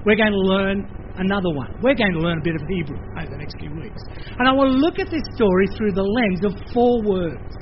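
An older man with an Australian accent, preaching a sermon in a lively conversational way, with playful asides. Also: high-pitched, brisk, muffled recording, slight background noise. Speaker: pastor.

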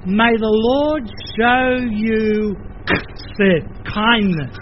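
An older man with an Australian accent, preaching a sermon in a lively conversational way, with playful asides. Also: high-pitched, extremely slow, dull audio, background noise. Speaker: pastor.

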